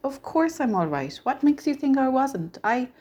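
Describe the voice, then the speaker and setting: A young man worn down by small-town life, acted out by a woman reading a story. He is bitter, gruff and scornful, pressing each complaint hard in a mellow voice. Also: high voice, big pitch swings, normal speed, lo-fi sound, voice pushed up, exaggerated voice. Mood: defensive, fed up. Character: bitter, despairing young male small-town bank clerk.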